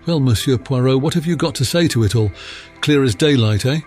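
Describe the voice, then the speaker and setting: A man acts out a confident audiobook police inspector in a middle-pitched voice. He is blunt and matter-of-fact, with everyday colloquial phrasing. Light music runs underneath. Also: brisk, darkish tone, lightly acted. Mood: smug, amused. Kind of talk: acted audiobook dialogue.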